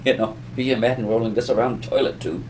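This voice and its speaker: A man giving an informal conversational lesson as an explainer, in a halting, rambling way with self-repetition. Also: mid-range voice, steady tone, a little mellow, a little hiss or hum, low-quality recording. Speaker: audio explainer teaching beginners.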